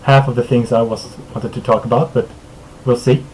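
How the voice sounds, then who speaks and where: A man's voice: mid-range voice, fairly even intonation, normal speed, a little mellow, slight background noise, low-quality recording. Chatty Swedish-raised man hosting a stream. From Sweden. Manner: rambling, chuckles often, self-corrects. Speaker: podcast host. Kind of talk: casual streamed podcast chat.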